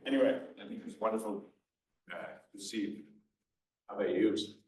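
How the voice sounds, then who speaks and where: Lower-voiced audience man, loud, wry, heard from far off in an echoey hall. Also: normal speed. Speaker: audience member asking. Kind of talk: question from the audience. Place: lecture hall. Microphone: far from the mic.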